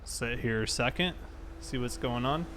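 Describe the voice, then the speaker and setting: A man's voice: mid-range voice, unhurried, background noise. A man chatting casually and easily while livestreaming a police roleplay game. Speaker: game streamer.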